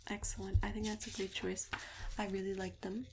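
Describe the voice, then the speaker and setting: A woman speaking softly and breathily, often near a whisper, in a gentle, reassuring ASMR roleplay as a friendly matchmaker. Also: mid-range voice, little pitch movement, background noise. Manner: gentle, reassuring, unhurried customer-service patter.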